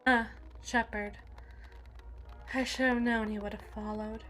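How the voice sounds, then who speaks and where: A woman playing a jealous, menacing evil queen in an ASMR roleplay, regal and possessive, with theatrical, deliberate formal diction. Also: on the high side, little pitch movement, loud music behind the voice, close to an everyday voice.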